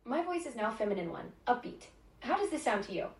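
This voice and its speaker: A woman's voice: fairly high pitch, brisk. A synthetic woman's voice of a voice assistant, giving polite, scripted replies. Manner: polite, even, scripted phrasing. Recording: echoey room from a smart speaker.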